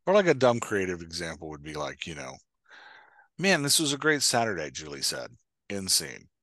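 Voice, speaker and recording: A man's voice: sits low, wildly swooping pitch, normal speed, bright, forceful tone, no echo. A man in his forties co-hosting a casual podcast discussion in a playful, joking manner, speaking into a headset mic on a video call. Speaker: podcast host and dialogue coach. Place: indoor room at home, video call.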